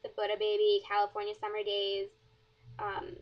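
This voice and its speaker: Rambling teen girl with flat delivery. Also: mid-range voice, moderate pace. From southern Wisconsin, USA. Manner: rambling, many fillers and "like". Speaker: blogger answering questions. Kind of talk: casual Q&A vlog. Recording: dull lo-fi webcam audio.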